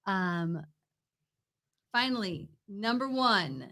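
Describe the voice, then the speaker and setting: A woman's voice: mid-range voice, lively intonation, very slow, bright, forceful tone. A woman co-hosting a TV review show, chatting casually and giving her opinions in an informal, teasing way. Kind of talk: casual banter and TV commentary.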